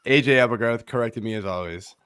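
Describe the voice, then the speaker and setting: A man's voice: medium pitch, fairly quick, a bit bright, clear, resonant tone, dry recording, clean studio sound. A man hosting a casual fantasy baseball show, his voice a little worn from losing it, talking in an easygoing, rambling way with lots of fillers. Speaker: podcast host.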